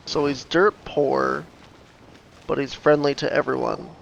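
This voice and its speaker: A woman's voice: a bass voice, fairly even intonation, unhurried, creaky, lo-fi sound, slight background noise. A woman giving terse, offhand, casual commentary as she plays a video game. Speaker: game player commenting on playthrough. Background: video game music and dialogue.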